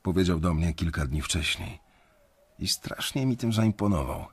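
An actor playing an anxious young banker who narrates his own story in a confiding, measured, dramatic way in an audio drama. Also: low-pitched, expressive, husky, exaggerated voice. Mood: reflective, uneasy. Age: young adult.

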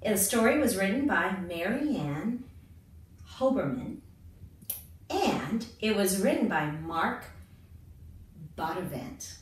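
A woman's voice: mid-range voice, expressive, slow pace, long pauses. A young woman speaking as a teacher to children, introducing a lesson in a room. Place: small indoor classroom-style room. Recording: echoey room, distant microphone.

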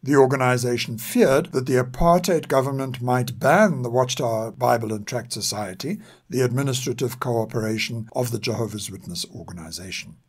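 An older man with a South African accent reads scripted narration in a measured, formal manner. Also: mid-range voice, slightly rough. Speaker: podcast narrator.

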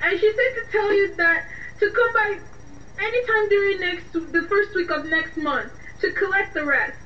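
Very high-pitched pleading young niece. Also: female voice, moderate pace. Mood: tearful, hurt. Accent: Nigerian. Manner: pleading, flustered, stammering. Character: anxious young Nigerian student niece, pleading, tearfully defensive. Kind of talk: radio drama acting. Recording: dull, echoey, distant, slightly noisy.

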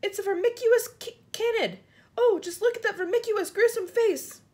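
A woman voicing an excitable, bossy male chief of police in a storybook, shouting his orders. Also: mid-range voice, moderate pace, close to an everyday voice. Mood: alarmed.

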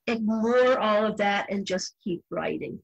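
An older woman talks as an author being interviewed. She is reflective and measured, stating views firmly in a middle-pitched voice. The sound is dry. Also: gravelly, no echo, muffled recording. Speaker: guest novelist.

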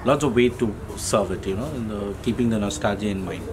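A man's voice: lowish voice, fairly quick, noisy background. A man in his thirties answering interview questions casually as a restaurant owner. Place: indoors, busy restaurant. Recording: busy restaurant chatter behind. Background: restaurant crowd.

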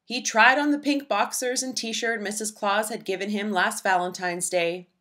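A woman reading a children's storybook aloud with expressive, dramatic emphasis. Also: medium pitch, moderate pace. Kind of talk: expressive scripted read-aloud narration for young children.

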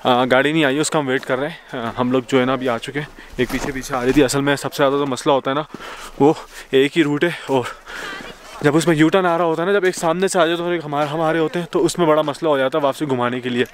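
उत्साहित आवाज़ में बातें करता नौजवान व्लॉगर. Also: male voice, a little high, fast. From Pakistan. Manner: chatty, excitable, laughs often. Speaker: travel vlogger. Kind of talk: casual travel vlog. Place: outdoors on snowy mountain road. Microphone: handheld camera at arm's length. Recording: outdoor noise, wind and vehicles.

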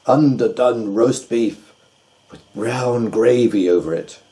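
A man doing a slow, growling dog. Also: medium pitch, animated, dark tone. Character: sleepy, growling loyal male dog.

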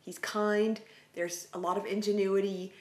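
A woman's voice: medium pitch, level tone, a little slow. A woman in her forties, co-hosting a casual family vlog and talking about books. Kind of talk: casual book chat to camera. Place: indoors, living room on a couch. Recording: roomy indoor sound.

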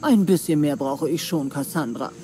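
A woman voicing a commanding, menacing pirate queen in a video game, speaking deliberately and with threat. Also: low-pitched, close to an everyday voice. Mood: measured, curious. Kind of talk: video game cutscene dialogue. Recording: music behind the voice.

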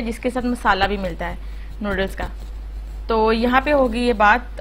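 A woman talks through a home recipe in a chatty, instructive voice-over, dropping in plenty of fillers as she goes. Her voice sits a little high. A faint hum runs under the recording. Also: poor audio. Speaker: cooking channel host. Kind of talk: voice-over cooking tutorial.

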